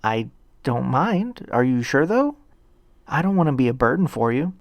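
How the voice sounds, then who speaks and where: A man reads as a seventeen-year-old girl in a fan fiction story. She is soft-spoken, shy and polite, with a hesitant, apologetic way of talking. The recording is very dry. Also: mid-range voice, very animated, normal speed, dry recording. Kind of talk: fan fiction audio reading.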